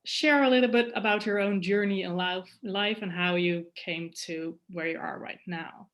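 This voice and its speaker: Female summit host, measured formal intro, video call. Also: mid-range voice. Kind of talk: scripted interview introduction.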